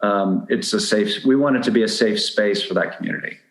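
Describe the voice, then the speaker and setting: A middle-aged man with a fairly low voice, heard with clear room echo. He talks casually as a podcast guest, explaining things in a conversational way. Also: a little fast. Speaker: podcast guest, dating advice blogger. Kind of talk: casual interview chat. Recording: roomy, echoing sound.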